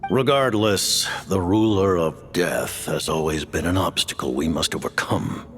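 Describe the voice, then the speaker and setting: An actor playing a stern older male commander in a video game cutscene, speaking in a grave, commanding and deliberate way with a gravelly voice. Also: sits low. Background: game score music.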